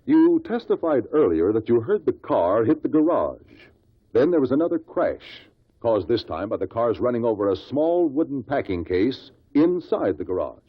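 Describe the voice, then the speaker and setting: An actor playing a shrewd, relentless investigator who questions witnesses deliberately in a 1950s radio drama. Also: male voice, medium pitch, sing-song, breathy edge. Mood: probing, formal. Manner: deliberate, probing courtroom questioning. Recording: muffled vintage 1950s radio recording.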